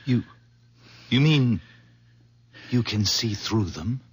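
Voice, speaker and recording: A protective nephew in a 1970s radio mystery. He speaks with urgency and a lively, rising and falling pitch. The voice is husky and sounds dry and close. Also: slow pace, no room sound, full character voice. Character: Otto, the concerned, protective nephew. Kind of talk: 1970s radio drama acting.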